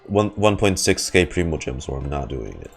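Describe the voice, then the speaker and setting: A woman's voice: very deep voice, expressive, normal speed. A woman streamer giving casual, sarcastic, sweary live commentary on a game she is playing. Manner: sarcastic, sweary, exasperated banter. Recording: game music faintly behind.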